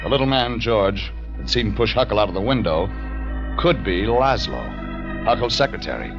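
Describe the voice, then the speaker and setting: A tough private eye in an old-time radio detective drama, clipped, wry and deadpan. The recording is dull and vintage, with prominent organ music behind the voice. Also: male voice, lowish voice, normal speed. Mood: narrating, dry. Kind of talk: 1940s radio detective drama, narration and dialogue.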